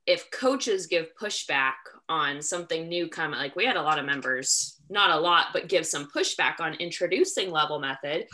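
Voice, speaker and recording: A woman's voice: low voice, expressive, normal speed, hardly any pauses, sharp tone. A young woman in her twenties, a gym coach, answering interview questions with earnest enthusiasm.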